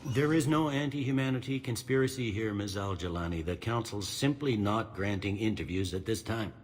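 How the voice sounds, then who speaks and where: Fed-up security officer, sci-fi game male actor; low register, gruff, blunt, tired of it all. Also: moderate pace, a little hiss or hum, lightly acted. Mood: irritated. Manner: blunt, weary, irritable. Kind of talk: cinematic game dialogue.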